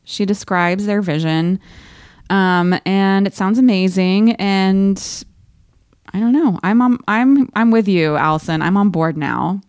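A woman co-hosting a podcast, chatting casually and reading aloud from a diary in an expressive, playful way. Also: medium pitch, measured pace, smooth, no echo, studio quality. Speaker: podcast co-host.

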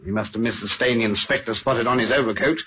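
A man's voice: mid-range voice, fast, slightly rough, natural voice. An actor playing a dutiful, eager police sergeant in a 1950s radio drama, a man's voice. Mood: businesslike. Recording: dull old radio recording.

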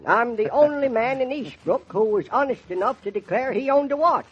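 A cantankerous old country farmer in a vintage radio play. His voice is high and husky, with a folksy rural American drawl, on a dull, muffled old recording. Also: expressive, brisk, heavily acted. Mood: proud, musing. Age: older. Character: crusty, folksy old male New England farmer. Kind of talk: 1940s radio drama acting.

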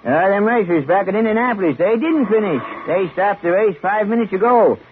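On a faintly noisy, narrow old radio recording, a male small-town cop. His voice is high-pitched, curt and bossy, with the exasperated, colloquial air of 1950s radio drama. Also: expressive, fairly quick.

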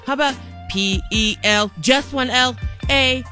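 A woman's voice: sits high, unhurried, sharp tone, faint music behind. A woman co-hosting a morning radio show, chatty and insistent as she spells things out. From Samoan heritage.

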